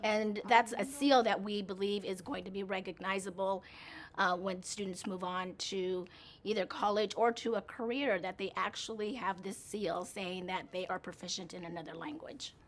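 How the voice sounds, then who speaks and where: A woman's voice: mid-range voice, fairly even intonation, moderate pace. A woman school administrator explaining a program earnestly in an interview.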